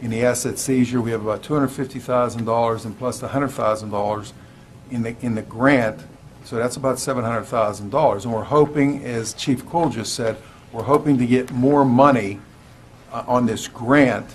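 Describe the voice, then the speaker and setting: A man, a local official, explaining things plainly to the public at a meeting, halting and rephrasing as he goes. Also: medium pitch. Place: indoor public council meeting. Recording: clear background noise, room ambience.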